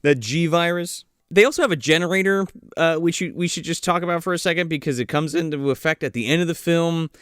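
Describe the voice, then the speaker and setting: A man's voice: on the high side, quick pace, hardly any pauses, a bit bright, clear, resonant tone, no room sound, studio quality. A man co-hosting a podcast, chatting casually and profanely about a movie and acting out its scenes. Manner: profane, jokey, acts out scenes. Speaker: podcast co-host. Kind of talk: casual movie review chat.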